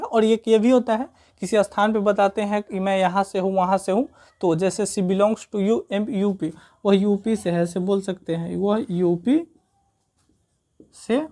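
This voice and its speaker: Indian female grammar tutor, medium pitch, soft-edged mellow tone, informal, repeats points step by step. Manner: repetitive step-by-step explaining, frequent check-in tags. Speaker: English grammar teacher. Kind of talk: informal explanatory grammar lesson.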